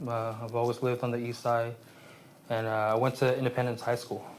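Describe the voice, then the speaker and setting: A man in his late twenties from Vietnam, raised in San Jose, speaking as an interview guest in a halting, reflective way with many restarts. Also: medium pitch, level tone, a little hiss or hum. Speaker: guest, aspiring therapist.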